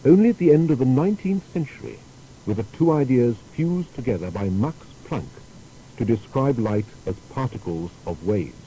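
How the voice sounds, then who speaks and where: Guy narrating a biography documentary, very mellow dark voice, goes up and down a lot, bit of hiss. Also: mid-range voice, animated, moderate pace, rough recording.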